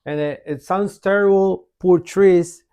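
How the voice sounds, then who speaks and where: Gravelly Argentine man in casual conversation. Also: sits high, fairly even intonation, unhurried, dark tone. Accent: Argentine Spanish. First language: Spanish. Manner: emphatic, repetitive, casual swearing. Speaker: podcast guest, author and shaman.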